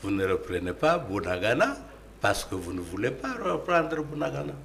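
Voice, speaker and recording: A man's voice: mid-range voice, big pitch swings, normal speed, creaky, a bit bright, slight background noise. A man with a Congolese accent, arguing emphatically as a panelist in a political debate and posing rhetorical questions.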